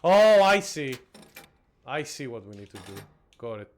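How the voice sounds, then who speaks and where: A man's voice: mid-range voice, very animated, unhurried. A young man from Greece streaming a horror game, chatting casually with viewers and reacting as he plays. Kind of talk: casual gameplay commentary.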